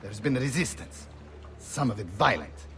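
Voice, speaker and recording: An actor playing a courteous, opinionated Venetian guide with an Italian accent and a florid, formal manner in a video game. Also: male voice, medium pitch, lively intonation, normal speed, fairly bright tone, a little hiss or hum. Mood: explanatory, disdainful.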